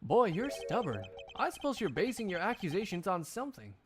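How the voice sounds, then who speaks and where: A man plays a smug, brash male prosecutor from a courtroom game. The voice is high and swings theatrically as he taunts and mocks, evasive and sure of himself, over music. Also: wildly swooping pitch, fairly quick. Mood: defiant.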